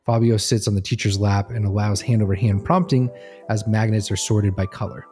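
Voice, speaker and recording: A man's voice: fairly low pitch, a little mellow. A man reading scripted second-person narration that sets out a scene step by step.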